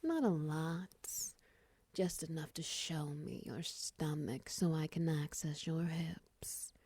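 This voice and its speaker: A woman playing a friendly, teasing half-spider monster in an intimate audio roleplay, soft-spoken, breathy and often close to a whisper. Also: deep voice, dry recording, full character voice. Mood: caring, reassuring. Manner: gentle, teasing, slow murmurs with soft chuckles.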